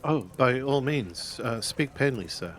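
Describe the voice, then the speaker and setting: A man's voice: medium pitch, animated, unhurried, a bit bright. A man playing a courteous samurai-era investigator in a tabletop game, speaking formally and hesitantly.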